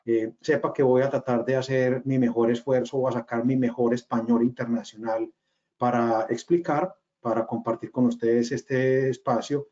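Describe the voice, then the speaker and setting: A man with a Colombian accent gives a webinar talk from a small room over his webcam. His voice is mellow and warm, his delivery hesitant and full of fillers. The audio is a little dull, like a typical video call. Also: medium pitch, fairly even intonation, moderate pace. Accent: Colombian regional. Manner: hesitant, many fillers and restarts. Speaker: webinar speaker, company cofounder. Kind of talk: webinar presentation.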